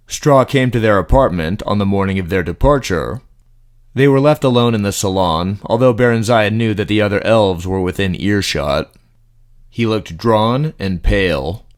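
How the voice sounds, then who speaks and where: Male audiobook narrator, lowish pitch, scripted fantasy storytelling.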